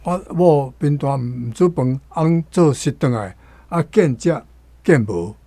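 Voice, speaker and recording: A Taiwanese-accented man giving lesson-like commentary as a guest, deliberately repeating phrases to explain them. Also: a little high, expressive, warm tone, no room sound. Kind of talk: explaining wording of a story, lesson-like talk.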